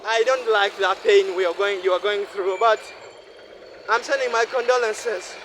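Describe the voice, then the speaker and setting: A man in his twenties from Uganda, talking to himself in a repetitive, preachy monologue while walking a city street at night, sweaty from walking fast. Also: sits high, steady tone, a bit bright, a little hiss or hum, rough recording. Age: 20s. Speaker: vlogger. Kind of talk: rambling night-walk monologue.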